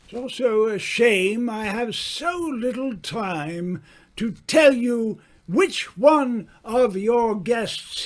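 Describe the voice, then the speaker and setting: A man playing a suave, knowing mystery writer in an old-time radio drama, speaking deliberately with dramatic pauses. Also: sits high. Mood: suavely sinister. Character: suave, theatrical male mystery writer, a knowing dinner guest.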